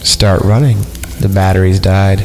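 A man's voice: sits low, flat delivery, a little slow. A man hosting a podcast, reading a horror story irreverently with sweary, goofy asides and mocking ad-libs. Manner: sweary, goofy, breaks off to ad-lib and mock the text. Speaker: podcast host. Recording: long reverb, loud music behind. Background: eerie film score music.

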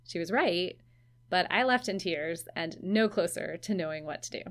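A woman's voice: on the low side, animated, moderate pace. A woman hosting a podcast, narrating in a conversational, lightly self-deprecating way. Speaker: podcast host.

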